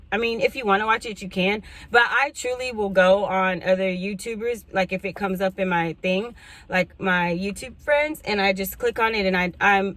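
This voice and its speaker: A woman in her forties with a lilt, talking straight to her viewers in a loose, chatty way. She wanders off on tangents and clears her throat between thoughts. Her voice sits at an ordinary middle pitch. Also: a bit bright. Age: 40s. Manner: chatty, rambling, frequent asides and throat clearing. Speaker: couponing YouTuber. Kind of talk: casual chat to camera.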